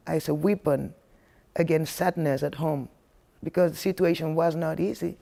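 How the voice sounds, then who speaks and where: A woman's voice: lowish voice, creaky, warm tone. A woman from Spain, a native Spanish speaker, answering interview questions emphatically, repeating herself and saying "you know" often. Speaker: interviewed singer.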